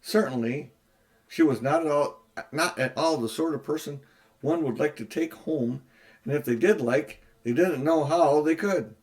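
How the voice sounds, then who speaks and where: An older man narrates a children's novel at an unhurried pace. His reading is steady and plain, the voice of a grandfatherly storyteller. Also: fairly high pitch. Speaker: audiobook narrator.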